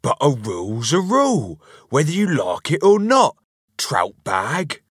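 Man acting a loud, blustering storybook husband. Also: mid-range voice, very animated, very slow, no echo, clean studio sound, pitched above the performer's own voice, full character voice. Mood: stubborn. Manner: blustering, demanding. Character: loud, bossy, foolish husband, wailing and blustering.

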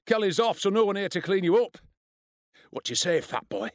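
A man's voice: high-pitched, very animated, brisk, dry recording, studio quality, voice pushed up. A man voicing a cruel, swaggering schoolboy bully in an audiobook, sneering and taunting as he talks. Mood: gleeful menace.

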